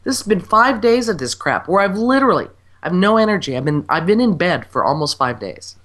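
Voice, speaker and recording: A woman's voice: fairly low pitch, sing-song, a little fast, a bit bright. A woman co-hosting a casual chat, stuffy and congested from a cold, talking in a blunt, teasing way and swearing freely. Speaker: podcast co-host and radio presenter.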